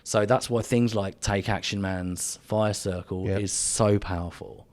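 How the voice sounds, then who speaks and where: A man with an English accent co-hosting a podcast indoors by a fire, talking in a relaxed, soft-spoken, rambling way. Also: fairly low pitch, measured pace. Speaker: podcast co-host. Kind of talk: casual chat.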